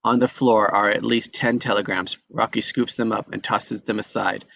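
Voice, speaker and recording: A man reading the stage directions at a live script table read, steady and measured. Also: lowish voice, gravelly, a little hiss or hum.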